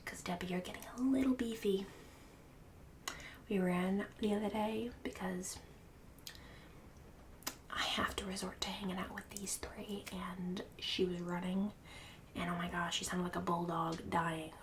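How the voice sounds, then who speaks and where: A woman's voice: fairly low pitch, fairly even intonation, measured pace, pauses often, recorded from a distance, a little hiss or hum, lightly acted. A woman playing a snobbish, mean suburban book club hostess in an ASMR roleplay, partly whispered, with condescending, fake-polite emphasis. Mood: catty judgment.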